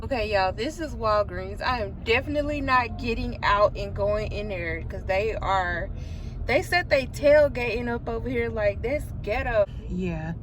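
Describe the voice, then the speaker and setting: A woman's voice: a little high, animated, unhurried, music in the background, low-quality recording. A woman in her twenties, from Texas, talking casually to viewers in a vlog, filmed on a phone in her car. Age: 20s. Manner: chatty, addresses viewers as y'all. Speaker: vlogger. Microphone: phone held at arm's length.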